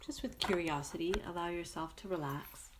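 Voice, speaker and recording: A woman leading a guided meditation in a gentle, soothing manner, speaking in short unhurried phrases with long pauses. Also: on the low side, slight background noise, low-quality recording.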